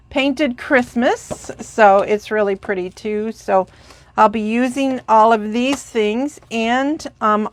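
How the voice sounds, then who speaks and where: A woman's voice: medium pitch, no room sound. A congested, sniffling woman chatting through a crafting tutorial, rambling with lots of fillers and gushing about supplies. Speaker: craft demonstrator.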